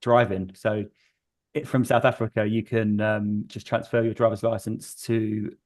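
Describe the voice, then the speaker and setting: Businesslike man with a flat, dry voice. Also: on the low side, unhurried, no room sound. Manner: matter-of-fact, businesslike. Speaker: recruitment business director. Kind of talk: webinar panel talk.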